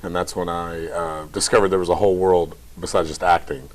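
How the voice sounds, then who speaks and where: Deep-voiced man from Kentucky. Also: brisk. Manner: relaxed, chatty, light laughs. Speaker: theater artistic director. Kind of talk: casual interview talk.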